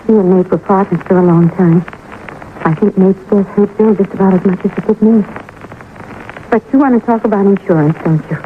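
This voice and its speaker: An old, tinny radio recording with faint noise carries a woman acting a young widowed mother. She is subdued and composed, speaking with measured hesitation and restrained sadness in 1950s drama style. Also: medium pitch, close to an everyday voice. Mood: subdued grief. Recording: noisy narrow-band old radio recording.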